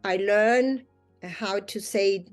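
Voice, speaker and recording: A mediator whose first language is Spanish talks through her cases in earnest, her phrasing slightly non-native. She laughs easily between points. Faint music sits under her voice at a middling pitch. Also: drawn-out words. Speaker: mediator, interview guest. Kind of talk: reflective interview answers. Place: Australia.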